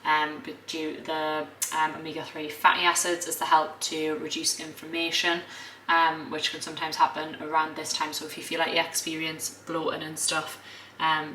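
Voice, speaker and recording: A young northern English woman with a low, bright, forward voice and a slight croak. She chats casually to camera in an echoey home kitchen, full of fillers and quick corrections. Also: slight background noise. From northern England. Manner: chatty, filler-heavy, self-correcting. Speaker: food and lifestyle vlogger.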